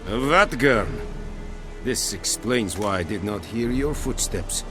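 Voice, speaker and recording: A stern foreign commander in a video game, formal and measured as he gives orders. His voice is fairly low. Clear music plays behind him. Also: expressive.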